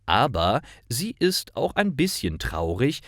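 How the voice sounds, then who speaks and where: A man reading simple stories as a narrator for language learners, in a deliberate and expressive storytelling style. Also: medium pitch, no room sound, studio quality. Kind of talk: scripted storytelling narration, read slowly for learners.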